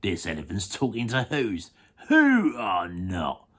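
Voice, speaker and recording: Deep, slow scoffing monkeys, as a reader voices them. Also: wildly swooping pitch, heavily acted. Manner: scoffing and shouty. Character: rowdy male jungle monkeys, scoffing.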